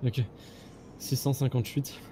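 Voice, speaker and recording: A man's voice: medium pitch, level tone, unhurried, mellow. A man in casual game roleplay as a journalist, compliant and hesitant. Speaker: player roleplaying a journalist held up. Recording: noisy game voice chat.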